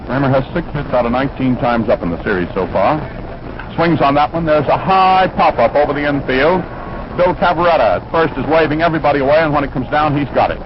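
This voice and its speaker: A man's voice: a little high, lively intonation, fast talker. An American man calling baseball play by play in 1940s radio commentary style, chatting descriptively between plays. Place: ballpark broadcast position. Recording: noisy, dull old 1940s radio broadcast.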